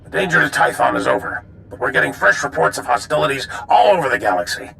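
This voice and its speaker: Very gravelly Jedi councilman. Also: mid-range voice, lively intonation, fast talker, bright, forceful tone, slight background noise, exaggerated voice, low-quality recording. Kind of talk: video game acting.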